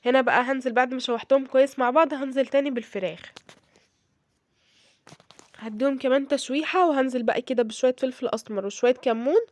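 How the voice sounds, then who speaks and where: A woman with an Egyptian Arabic accent, hoarse from a cold, chatting through a home cooking tutorial and often checking in with her viewers. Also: sits high, quick pace, darkish tone, no room sound. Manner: chatty, addresses viewers directly, frequent fillers and check-ins. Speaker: cooking vlogger.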